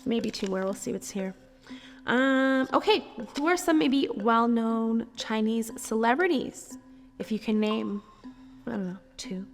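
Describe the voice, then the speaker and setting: A woman's voice: fairly high pitch, a little slow. A woman hosting a casual quiz chat in a bubbly, laughing way. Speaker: host. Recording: reverberant room with music underneath.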